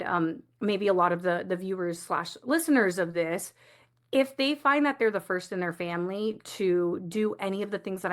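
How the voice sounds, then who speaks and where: Female interview guest from the US, medium pitch, conversational, reflective explainer. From United States. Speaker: guest, coach for first-generation community.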